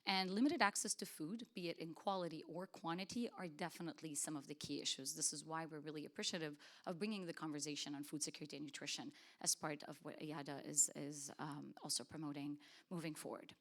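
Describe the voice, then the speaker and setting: Earnest woman speaker, low voice. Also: fairly even intonation, fast, a bit bright. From Lebanon. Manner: earnest, emphatic, frequent restarts and fillers. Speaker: conference speaker. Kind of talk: conference presentation. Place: conference hall.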